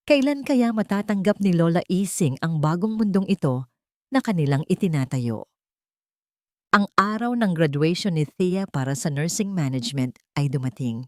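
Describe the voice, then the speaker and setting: A woman's voice: lowish voice, a little mellow, dry recording, clean studio sound. A woman reading a scripted romantic story as a dramatic narration.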